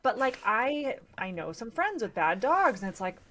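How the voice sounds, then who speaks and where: A woman's voice: fairly high pitch, big pitch swings, moderate pace, fairly bright tone. A young American woman chatting informally as a podcast guest, rambling a little and lightly joking. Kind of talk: casual chat.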